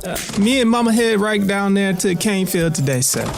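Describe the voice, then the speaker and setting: An actor playing an anxious, deferential young enslaved son, stammering meekly with a Southern US accent in an audio drama. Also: male voice, high-pitched, expressive, a little fast, creaky, faint music behind. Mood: nervous, pleading. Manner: meek, stammering, pleading.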